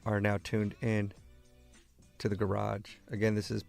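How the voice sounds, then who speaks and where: American guy doing a radio show, real slow and crackly voice, talks in short chunks, laid back. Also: lowish voice, completely flat delivery, vocal fry, a little mellow, faint music behind. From East Los Angeles, United States. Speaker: radio host.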